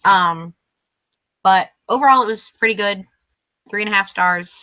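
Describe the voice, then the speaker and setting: A woman's voice: medium pitch, moderate pace, sharp tone. A tired woman who is losing her voice, co-hosting a casual live book chat in a rambling, hesitant, self-correcting way. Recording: dull lo-fi stream audio.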